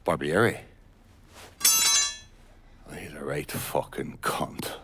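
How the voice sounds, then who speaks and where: Bitter Irish mob man, game cutscene. Deep, slow delivery, profane sneer, Irish accent. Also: very noisy. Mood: bitter contempt. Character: bitter, foul-mouthed Irish mobster with a grudge. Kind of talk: video game cutscene acting. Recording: music behind the voice. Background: music.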